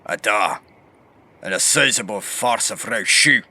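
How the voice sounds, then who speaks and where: A grave male warrior played by an actor in a fantasy audio drama. His lowish voice is very sharp and forceful, and he speaks slowly and seriously. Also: full character voice. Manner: deliberate, serious.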